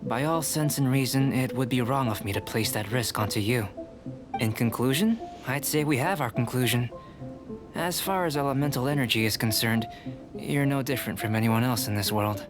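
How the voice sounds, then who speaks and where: Man voicing a young alchemist, calm, precise, formal, middle pitch, game cutscene, music behind. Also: moderate pace, close to an everyday voice. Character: calm young male alchemist, measured and curious. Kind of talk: game voice acting.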